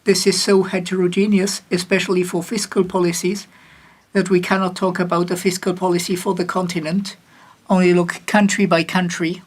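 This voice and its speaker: A woman working as a simultaneous interpreter for a conference chair. Her voice sits low and barely moves in pitch. She keeps a measured pace, with occasional restarts and self-corrections as she follows the speaker.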